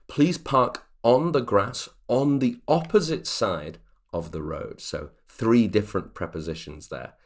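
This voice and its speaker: Lively low-voiced male English teacher. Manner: patient, explanatory, checks in with "okay". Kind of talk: online grammar lesson.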